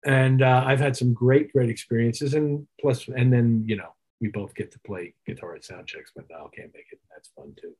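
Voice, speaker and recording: A middle-aged man with a husky voice answering interview questions as a musician, reflective and detailed in his explanations. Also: medium pitch, moderate pace, hardly any pauses, darkish tone, poor audio. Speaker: interview guest, touring keyboard player.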